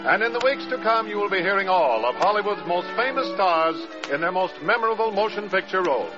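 High-voiced vintage radio announcer man. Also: expressive, fairly quick. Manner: formal, dramatic. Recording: muffled old radio recording, loud music.

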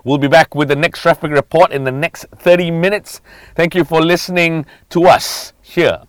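A man's voice: on the high side, steady tone, moderate pace, fairly bright tone, clean tone, no echo, clean studio sound. A man with a Malaysian accent reading a scripted radio traffic report. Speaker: traffic announcer.

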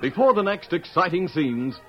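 Old-time radio narrator, muffled recording. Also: male voice, a little high, expressive, normal speed, soft background music. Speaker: announcer and narrator.

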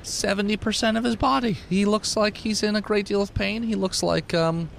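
A man's voice: high-pitched, steady tone, moderate pace, gravelly, very noisy. A man acting as game master, casually improvising combat descriptions and joking with his players. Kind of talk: casual improvised tabletop game narration and banter.

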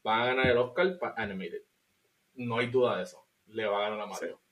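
A man with a Puerto Rican accent co-hosting a podcast, reviewing a film in an animated, casual way and slipping into English. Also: lowish voice, fairly quick, rough recording. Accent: Puerto Rican Spanish. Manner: animated, laughs often, mixes in English. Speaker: podcast co-host.

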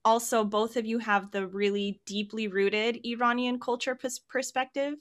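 A woman's voice: fairly high pitch, steady tone, normal speed. A woman from the United States hosting a podcast, talking in an easygoing, reflective way. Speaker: podcast host. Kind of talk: casual podcast chat.

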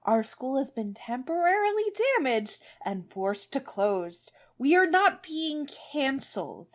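A woman reading the part of a sarcastic, exasperated man in a story who is barely keeping calm, with emphatic delivery. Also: on the high side, moderate pace, natural voice. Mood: strained calm.

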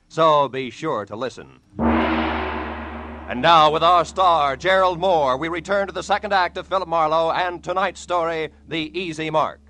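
A man's voice: fairly high pitch, bright, forceful tone, slight background noise. A man announcing a scripted promotion in 1940s radio style as the show's announcer.

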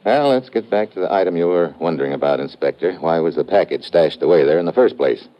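Wry investigator, lowish pitch, businesslike narration and dialogue, vintage radio drama, dull lo-fi sound. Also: male voice, expressive, fast talker. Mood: inquisitive, businesslike. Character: brisk, wry hard-boiled male investigator narrating his case. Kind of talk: old-time radio detective drama.

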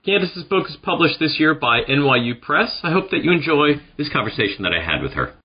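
A man's voice: a little high, sing-song, a little fast, breathy edge, lo-fi sound. A man hosting an interview podcast, speaking in a polite, conversational way.

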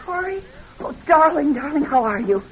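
An actress playing an affectionate, earnest wife in a radio drama. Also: female voice, sits high, big pitch swings, moderate pace. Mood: tender, grateful. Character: affectionate, pleading wife, secretly scheming. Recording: muffled, hissy old radio recording.

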